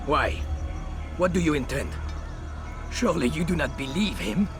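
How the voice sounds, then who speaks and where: An actor playing a scheming, haughty Templar commander in a video game, with a bitter, theatrical and taunting delivery. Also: male voice, fairly high pitch, animated, moderate pace, lightly acted. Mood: impatient, urgent. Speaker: villain. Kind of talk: dramatic video game cutscene acting. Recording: music behind the voice.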